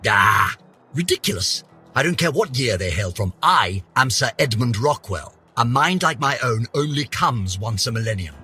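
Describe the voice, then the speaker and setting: A man's voice: mid-range voice, expressive, normal speed, bright, forceful tone, faint music behind. An actor voicing a pompous, vain older British scientist, theatrically narrating his journal for a game with haughty, florid melodrama. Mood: indignant. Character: pompous, vain, aging male scientist, indignant and obsessive.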